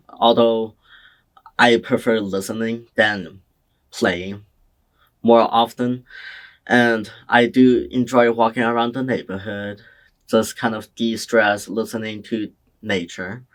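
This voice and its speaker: A young man from China answering oral history interview questions in a slow, hesitant, soft-spoken way. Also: medium pitch, steady tone. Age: young adult. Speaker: interviewee, community college student.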